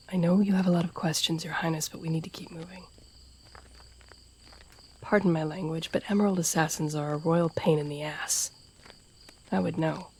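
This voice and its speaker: A woman playing a stern, loyal, guarded masked assassin in a fantasy audio roleplay, speaking gravely and formally, often in a hushed breath. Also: on the low side, natural voice. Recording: noisy outdoor night ambience with crickets. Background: crickets and night insects.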